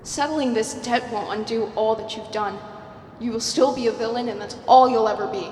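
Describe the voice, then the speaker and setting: A woman's voice: a little high, moderate pace, close to an everyday voice. A woman playing a bold, indignant henchwoman on guard in a superhero radio drama. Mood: defiant. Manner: excitable, confrontational. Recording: echoey hall, loud background noise.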